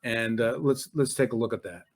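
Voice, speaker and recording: Man hosting, medium pitch, halting. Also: a little hiss or hum. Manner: halting, rambling. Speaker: interview host. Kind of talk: casual interview chat.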